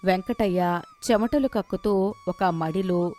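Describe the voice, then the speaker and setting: A woman's voice: medium pitch, animated, takes it slowly, warm tone, soft background music. A woman reading scripted narration as the storyteller of a moral tale.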